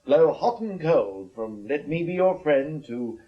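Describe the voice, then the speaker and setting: An actor playing a weary, mocking British double agent in a radio drama, with sardonic, drawn-out emphasis. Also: male voice, on the high side, expressive. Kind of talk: naturalistic radio drama acting. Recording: dull radio drama recording.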